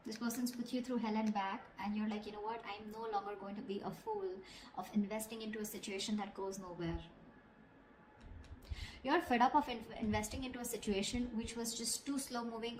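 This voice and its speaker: A woman with a mid-range voice reads tarot directly to her listener. She talks conversationally and keeps punctuating her points with short check-in questions. The recording is roomy and echoey, with clear noise behind her. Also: mid-range voice. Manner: conversational, repeats tag questions like okay and you know. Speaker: tarot reader. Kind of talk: intuitive tarot reading addressed to the viewer. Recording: echoey room, clear background noise, clicks.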